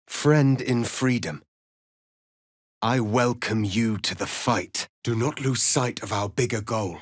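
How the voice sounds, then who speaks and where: A stern, defiant warrior prince of an alien people for a fantasy video game. He speaks slowly and formally, with solemn authority in a mid-pitched male voice. The sound is dry, free of room echo. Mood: resolute, commanding. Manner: formal, measured, commanding, grave delivery. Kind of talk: dramatic fantasy game dialogue.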